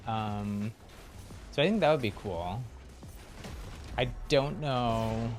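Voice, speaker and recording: A man's voice: medium pitch, slow, soft background music. A man chatting casually and easygoing as he comments live on the video game he is playing. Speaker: game livestreamer.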